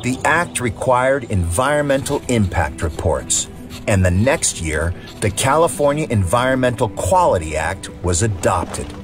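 A man's voice: mid-range voice, big pitch swings, a little slow. A man reading scripted captions as an announcer-like narrator. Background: music.

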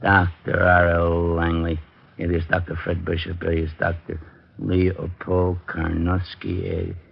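An American actor playing a gruff, touchy, slow-witted police sergeant in a 1940s radio comedy-mystery style. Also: male voice, deep voice, monotone, exaggerated voice. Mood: plodding. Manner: slow-witted, grumbling, ungrammatical. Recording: muffled 1940s radio recording.

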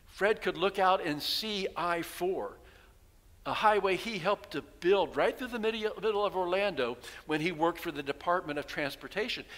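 Older man, pastor, sermon, headset mic, bright tone, reverberant sanctuary. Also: high-pitched. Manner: storytelling preacher, rhetorical questions, voicing townspeople. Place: large church sanctuary, at pulpit. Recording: long reverb of a church hall.

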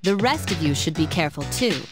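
A wry, self-pitying schoolteacher in an anime game. She lectures with animated pitch and dry exasperation while music plays clearly behind her. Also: fairly quick. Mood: brisk, instructive. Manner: instructive, dryly exasperated. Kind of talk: anime video game dub acting.

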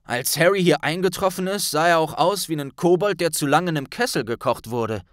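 A man's voice: fairly high pitch, a little fast, a bit bright, no echo, studio quality, voice pushed up, natural voice. A man playing a blunt, loyal young male wizard friend with a colloquial way of talking in an audiobook. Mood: indignant. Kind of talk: audiobook character voice.

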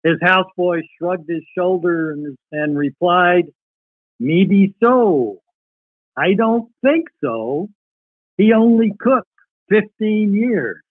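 A man's voice: high voice, animated, slow, no room sound. An older man being interviewed, talking and reading old letters aloud. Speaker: podcast guest reading a relative's wartime letters. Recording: tinny, phone-like call audio.

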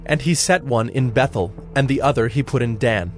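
A man narrating a dramatized Bible in a measured, solemn storytelling style. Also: mid-range voice, soft background music.

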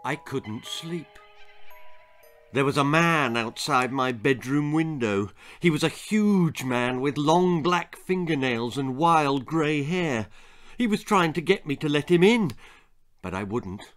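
A man's voice: a little high, lively intonation, slow pace, faint music behind. Older guy in his 60s reading spooky kids story, really swoopy dramatic delivery, takes his time. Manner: theatrical, suspenseful delivery. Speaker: author reading own stories. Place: small book-lined room indoors.

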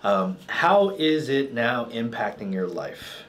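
A man's voice: mid-range voice, very animated, takes it slowly, fairly bright tone. A man in his thirties, a chiropractor talking casually and explaining things to a patient. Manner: informal, explanatory. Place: small indoor treatment room. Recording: clearly noisy small treatment room.

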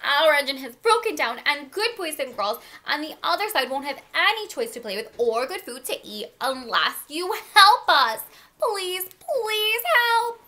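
Woman as an eager, high-pitched little toy clown. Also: very animated, measured pace, pushed and bright, exaggerated voice. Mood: pleading. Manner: eager, pleading, exclaiming. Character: small excitable hopeful toy clown and pleading toys.

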